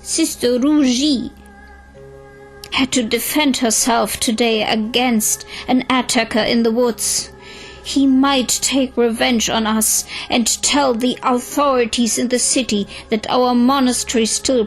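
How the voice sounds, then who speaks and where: A woman's voice: a little high, fairly even intonation, slow pace, soft background music, rough recording. A woman voicing a frightened nun in an audio drama, urgent and worried. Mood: fearful, alarmed. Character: anxious, frightened Buddhist nun, female.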